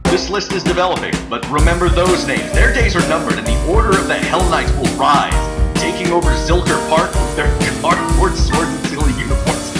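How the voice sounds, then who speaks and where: A man's voice: on the high side, fairly quick, hardly any pauses, lightly acted. A man playing a pompous revolutionary bank-robbing gang leader in a comedy audio drama, grand proclamations slipping into petty, profane asides. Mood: grandiose. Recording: roomy echo, loud music behind. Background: music with drums.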